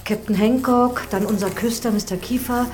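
A woman's voice: on the high side, fairly even intonation, moderate pace, a little mellow, close to an everyday voice. A woman playing a village housekeeper in a radio drama, plain-spoken and deferential yet blunt. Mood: plain, reporting.

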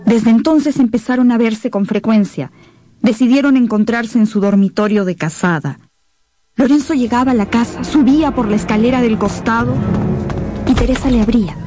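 A woman's voice: on the high side, moderate pace, mellow. A woman giving dramatic scripted narration for a radio novel. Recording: music behind the voice.

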